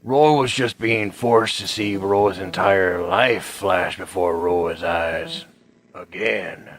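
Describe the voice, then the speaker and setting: An actor playing a deadpan, husky-voiced narrator inside the hero's head in a scripted comic radio drama. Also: male voice, sits low, slow, dry recording. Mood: deadpan announcing.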